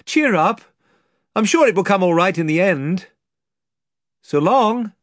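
Guy doing a brave young king, earnest, formal, slow and dry. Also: high voice, sing-song, a bit bright, dry recording, pitched above the performer's own voice, exaggerated voice.

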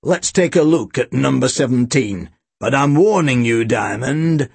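A gruff storybook chief inspector, acted out by a man. He growls in a cracked, husky voice, slow and sardonic, believing nothing. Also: mid-range voice, animated, dry recording, exaggerated voice.

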